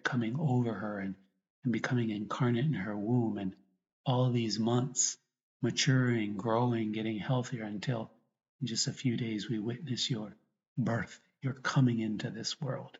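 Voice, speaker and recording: A man, a priest, guiding a meditation and prayer in a reflective way, often pausing mid-thought. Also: fairly low pitch. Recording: dull, lo-fi recording.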